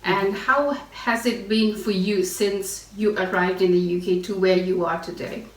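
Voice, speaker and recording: Host: woman, mid-pitched, chatty with lots of ums, distant roomy sound. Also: steady tone, measured pace. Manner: friendly, filler-heavy questioning. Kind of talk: casual interview. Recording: echoey room, mic at a distance.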